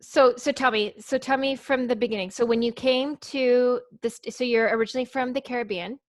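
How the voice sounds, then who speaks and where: A woman hosting a casual interview, prompting her guest gently and echoing back what she hears. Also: on the high side, fairly even intonation, brisk. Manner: encouraging, affirming, echoes the guest. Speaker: host, hypnotherapist.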